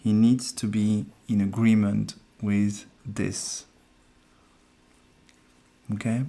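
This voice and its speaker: A man hosting a casual live numerology stream, soft-spoken and hesitant as he thinks aloud. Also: fairly low pitch, level tone, drawn-out words, vocal fry. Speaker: numerologist host.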